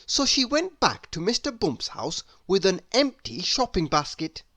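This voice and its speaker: A woman reading a children's story aloud in an expressive, deliberate, theatrical way. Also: mid-range voice, no echo. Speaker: storybook reader.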